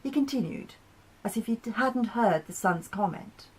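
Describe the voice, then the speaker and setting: Husky woman narrating a short story. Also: a little high, expressive, moderate pace, low-quality recording. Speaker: author reading own short story. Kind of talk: expressive scripted story narration.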